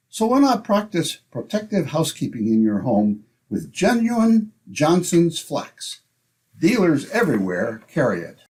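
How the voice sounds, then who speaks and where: A man's voice: medium pitch, a little mellow. A man announcing in old-time radio style, with polished, salesmanlike phrasing.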